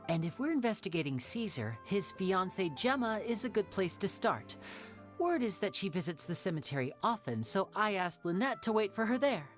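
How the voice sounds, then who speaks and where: A man voicing a suave, composed young magician in a video game, light and youthful, polite and smoothly articulate. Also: high voice, big pitch swings, moderate pace. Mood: intrigued, calm. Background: background music.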